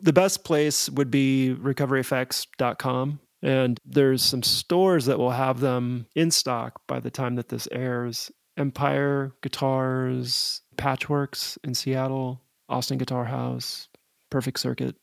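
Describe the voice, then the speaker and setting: A man's voice: medium pitch, fairly even intonation, slow pace, creaky, no echo, clean studio sound. A man with an American accent talking casually as an interview guest about his craft. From United States (Nebraska). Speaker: podcast guest, guitar effects pedal maker.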